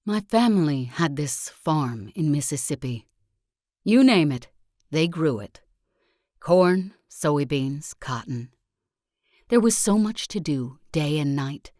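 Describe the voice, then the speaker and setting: A woman voicing a zealous self-help guru and sect leader in a video game, speaking in solemn, deliberate oratory with calm conviction. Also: sits low, no room sound, studio quality. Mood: earnest, reflective. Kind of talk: scripted video game character monologue.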